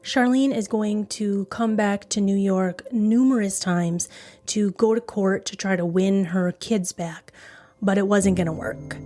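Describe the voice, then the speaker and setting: A woman podcast host narrates a true crime story with music behind her. Her pitch is average and her delivery is conversational and informal, pausing to recap and stress points. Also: darkish tone. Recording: background music under voice.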